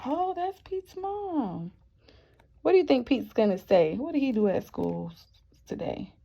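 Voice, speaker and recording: A woman teacher reading a picture book aloud to young children, expressive and encouraging, often asking the listeners questions. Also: high-pitched, unhurried, creaky, breathy edge. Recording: dull, muffled lo-fi sound.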